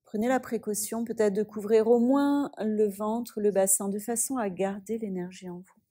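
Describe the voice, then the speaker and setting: A woman yoga teacher guiding a yoga nidra relaxation, speaking softly and soothingly with long pauses. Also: mid-range voice, steady tone.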